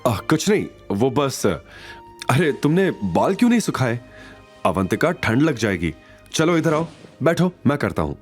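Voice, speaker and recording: A man's voice: medium pitch, very animated, unhurried, faint music behind. A man playing a caring, protective young man, earnest and coaxing, in an audio drama. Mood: tender, attentive.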